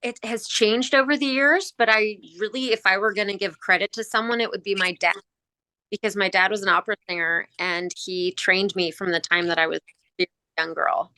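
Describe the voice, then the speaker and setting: A woman singer from Southern California chats in an easygoing way. Her voice is bright and forceful. The sound is dry, with no room echo. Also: medium pitch, steady tone, normal speed. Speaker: lead singer. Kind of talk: casual interview.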